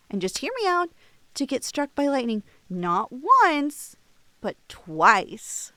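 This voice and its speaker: A woman playing a tipsy, playful young cosplayer in an ASMR roleplay, rambling and teasing with halting, drawn-out words. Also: on the high side, fairly bright tone, no echo, exaggerated voice. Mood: musing. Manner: rambling, teasing, halting with giggles and trailing thoughts.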